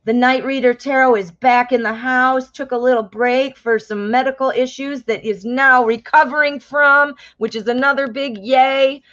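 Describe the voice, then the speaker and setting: A woman's voice: high-pitched, fairly even intonation, normal speed, few pauses, dull audio. An American woman hosting a live tarot reading stream, chatting with viewers, calling them honey and my love, and explaining the cards. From United States. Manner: chatty, warm endearments, reads viewer names aloud; exclaims and laughs at cards.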